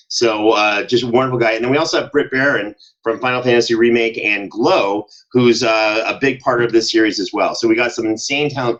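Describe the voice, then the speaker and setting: A man speaking casually as a podcast guest and producer in an interview. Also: mid-range voice. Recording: dull, lo-fi sound.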